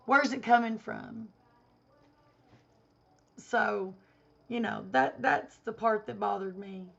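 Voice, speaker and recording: A woman vlogger giving an indignant opinion rant to camera, hoarse because she has a cold and is losing her voice. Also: medium pitch, big pitch swings, takes it slowly, dull audio. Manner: indignant, emphatic.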